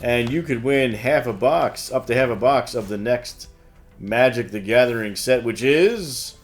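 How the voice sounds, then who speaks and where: Male co-host, informal promotional announcer with easygoing banter, mid-pitched voice, music underneath. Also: unhurried. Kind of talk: promotional store news with banter.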